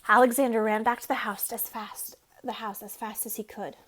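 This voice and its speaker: A woman narrates a picture book for children. Her voice sits high, and she reads expressively, as a storyteller does. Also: fairly even intonation, moderate pace, background noise. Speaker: storybook reader.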